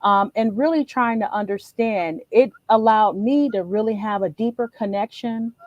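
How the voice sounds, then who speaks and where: A woman speaks on an online panel in a gracious, reflective way. Her voice sounds dry and close, with no room sound, at a medium pitch. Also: normal speed, no echo, muffled recording. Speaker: panel guest. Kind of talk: webinar panel discussion.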